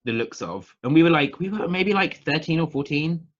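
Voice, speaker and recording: A man's voice: on the high side, lively intonation, fairly quick, dull audio. A man in his thirties with a southern English accent, chatting casually as an interview guest in a rambling, hesitant way. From England, near London. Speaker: interview guest, witchcraft practitioner.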